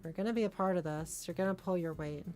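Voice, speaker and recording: A woman's voice: on the low side, fast, darkish tone, faint music behind, natural voice. A woman voicing a blunt, bossy and demanding female esports teammate in a visual novel. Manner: blunt, commanding.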